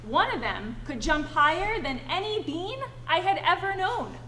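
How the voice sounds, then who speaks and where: A woman educator reading aloud and chatting with children in an animated, encouraging way. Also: extremely high pitch, normal speed. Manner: encouraging, addresses children with endearments. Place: indoor school family event, live audience. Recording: echoey room with background noise.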